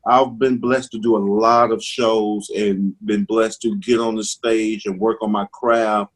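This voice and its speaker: A man's voice: on the low side, level tone, unhurried. Drawling Southern man with a lisp. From Mississippi, US. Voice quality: slight lisp. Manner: laid-back, drawling, says "man" a lot. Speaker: stand-up comedian guest. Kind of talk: casual interview chat.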